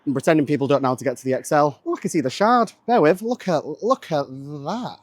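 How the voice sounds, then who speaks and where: A man's voice: on the high side, animated, quick pace, dry recording. A man from Manchester, a business founder chatting casually to camera in a rambling way, full of "um" and "like". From Manchester, England. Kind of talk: casual vlog chat.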